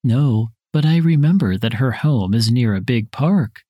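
A man reading his parts in a scripted dialogue for a language listening test, with careful, even delivery. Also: mid-range voice, measured pace, dry recording, lo-fi sound.

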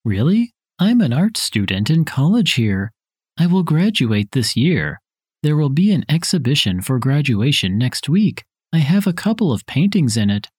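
Husky man reading a textbook dialogue. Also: a little high, very animated, moderate pace, a little mellow, dry recording, studio quality. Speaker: dialogue reader for a listening test.

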